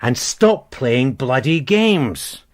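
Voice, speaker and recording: An actor playing a blunt, dogged detective sergeant in a radio crime drama, probing and plain-spoken. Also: male voice, medium pitch, sing-song, drawn-out words. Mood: pressing, stern. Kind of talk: naturalistic radio drama dialogue.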